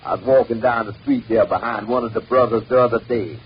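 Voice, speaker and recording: A man's voice: mid-range voice, fast, highs cut off, close to an everyday voice. A man performing a comic mock sermon as an exasperated, foul-mouthed, folksy preacher scolding his stingy flock. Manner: folksy, bombastic, cursing pulpit delivery. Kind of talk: mock sermon comedy monologue. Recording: noisy band-limited old 78rpm record.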